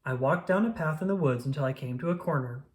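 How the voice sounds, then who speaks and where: A reader voicing a calm, reassuring male frog from a children's storybook, telling things patiently and encouragingly. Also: mid-range voice, quick pace, natural voice. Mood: gentle storytelling.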